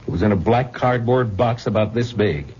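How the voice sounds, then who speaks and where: An actor playing a protective young fiancé in an old-time radio drama, urgent and insistent. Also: male voice, fairly low pitch, animated, normal speed, darkish tone, slight background noise, lightly acted. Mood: angry demanding. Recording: muffled old radio recording.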